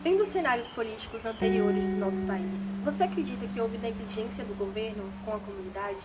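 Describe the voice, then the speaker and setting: A woman's voice: a little high, fairly quick. A young woman with a Brazilian accent reading scripted documentary material in a formal manner. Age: young adult. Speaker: documentary narrator and interviewer. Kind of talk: scripted narration. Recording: distant mic under loud guitar music.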